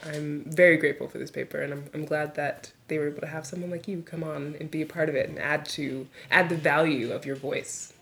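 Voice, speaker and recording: A woman's voice: sits low, fairly even intonation, fairly quick, vocal fry, hardly any pauses. Affirming New woman hosting. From New York, USA. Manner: warm, affirming, laughs often. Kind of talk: casual interview chat.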